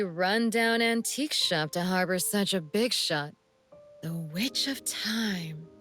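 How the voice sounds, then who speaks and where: A sly creepy assassin girl from a game, real slow and teasing, music behind her. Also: medium pitch, a bit bright. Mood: mocking. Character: sly, menacing young female assassin with mind-reading eye. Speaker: video game character. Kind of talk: scripted game character monologue.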